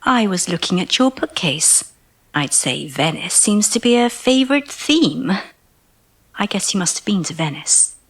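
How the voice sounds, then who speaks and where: Breathy, adoring young woman. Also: on the low side, big pitch swings, a little slow, exaggerated voice. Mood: playful, curious. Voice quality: breathy, hushed. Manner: gushing, coaxing. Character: adoring, eager, soft-hearted young woman on a date. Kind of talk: intimate audio drama acting.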